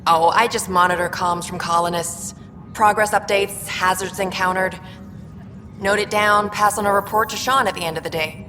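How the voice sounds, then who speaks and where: An actress playing an eager, chatty young alien comms worker, a bubbly little sister, in a video game. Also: female voice, medium pitch, fairly even intonation, normal speed, lightly acted. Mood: proud, chatty. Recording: reverberant space with music behind.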